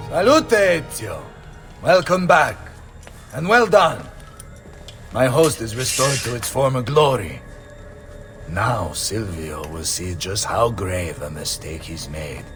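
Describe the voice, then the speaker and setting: An actor playing a bold, blustering Renaissance mercenary captain with an Italian accent in a video game. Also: male voice, lowish voice. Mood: hearty, earnest. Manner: blustering, theatrical. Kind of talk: video game cutscene acting.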